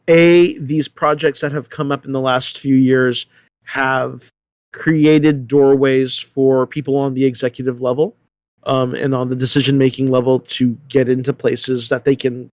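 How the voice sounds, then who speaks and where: A man talks through his thoughts as a discussion panelist, at a middle pitch. He often restarts and repeats words, tagging points with "right?" The sound is close and dry. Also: flat delivery, darkish tone, no echo. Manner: halting, repeats words, seeks agreement with "right?". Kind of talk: panel discussion, thinking aloud.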